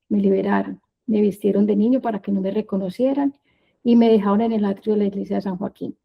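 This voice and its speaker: A woman in her fifties with a Medellín Colombian accent, telling her life story as a prepared talk in measured, short phrases. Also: mid-range voice, vocal fry, mellow, husky, rough recording. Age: 50s.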